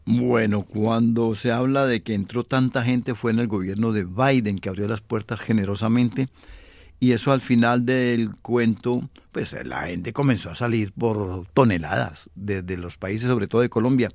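A man's voice: lowish voice, normal speed, darkish tone, dry recording. A man hosting a Spanish-language community radio talk show, conversational with brief interjections. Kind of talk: radio talk and read advertisements.

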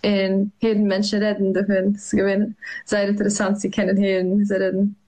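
A young woman, answering interview questions in a halting way, with many fillers and restarts. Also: medium pitch, completely flat delivery, warm tone, poor audio. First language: Yiddish. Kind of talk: hesitant conversational interview.

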